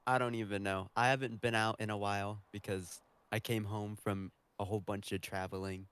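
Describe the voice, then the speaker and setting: Male interview guest, deep-leaning smooth voice, casual talk, dry recording. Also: flat delivery, a little fast, a bit bright, no room sound. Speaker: podcast guest, competitive beatboxer.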